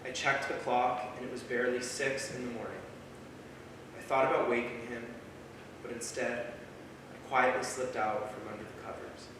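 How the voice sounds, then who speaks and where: A self-assured young male writer played by an actor speaks at a medium pitch in a staged drama reading. His voice comes from some distance in a heavily echoing hall. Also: flat delivery, normal speed, close to an everyday voice. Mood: soft, reflective. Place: small theater, recorded from the audience. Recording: distant, reverberant noisy theater recording.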